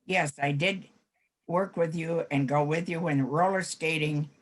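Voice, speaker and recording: An 89-year-old American woman reminiscing in a group interview, with halting, deliberate phrasing. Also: low voice, fairly even intonation. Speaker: former scout troop helper.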